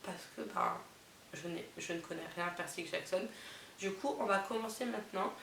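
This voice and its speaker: A woman's voice: fairly low pitch, moderate pace, gravelly, a bit bright. Young woman, head cold, nasal, chatty vlogger; distant mic, echoey room. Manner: chatty, lots of fillers and amused asides. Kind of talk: casual chatty vlog, reading out summaries with reactions. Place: indoors, not her bedroom. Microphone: camera mic. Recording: roomy, distant camera mic with faint hiss.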